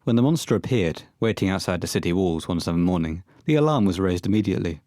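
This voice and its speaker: A man with a low voice narrates a scripted horror tale. He is recorded close and dry, with no room around him. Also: lively intonation, fast, dark tone, no room sound.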